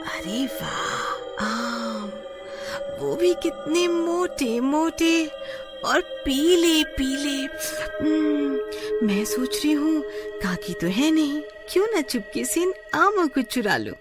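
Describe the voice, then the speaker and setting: Lady putting on a high pitched cheeky village woman, singsong n exclaiming, cartoon story, music behind. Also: animated, takes it slowly. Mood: sly, greedy. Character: cheeky, greedy village woman who turns frightened. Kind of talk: Hindi cartoon horror story acting.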